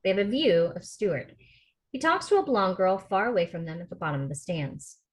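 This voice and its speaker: A woman with a fairly low voice narrates a film script. She reads the stage directions plainly between the actors' parts on a video call. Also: sing-song, fairly quick. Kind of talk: scripted narration at a table read.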